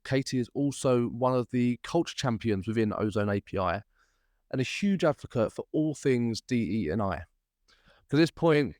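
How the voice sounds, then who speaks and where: A man's voice: mid-range voice, a little mellow, no echo, studio quality. A man hosting a podcast interview, upbeat and chatty, with frequent fillers and restarts. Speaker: podcast host. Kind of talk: casual interview chat.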